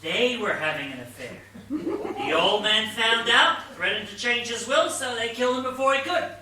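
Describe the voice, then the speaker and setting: An actor playing an earnest, officious police inspector in a stage whodunit, questioning in a formal manner. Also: male voice, extremely high pitch, animated, moderate pace. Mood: eager. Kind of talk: amateur one-act stage play acting. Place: indoor theatre stage before an audience. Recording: echoey theatre stage from far, faint noise.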